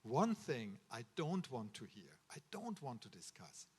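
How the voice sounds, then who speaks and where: German guy giving a ceremony speech, earnest advice, middle pitch, lots of uh and restarts. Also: wildly swooping pitch, measured pace, darkish tone, slightly rough. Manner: earnest, advising, with frequent uh fillers and restarts. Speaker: medical school official addressing students. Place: ceremony hall.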